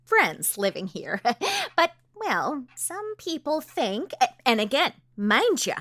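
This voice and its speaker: A woman's voice: high voice, big pitch swings, slow pace, a bit bright, no room sound, heavily acted. An actress voicing a nosy, folksy small-town woman neighbor with a North Dakota accent, sugary and gossipy, full of old-fashioned sayings. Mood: prying, huffy. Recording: dry close studio sound.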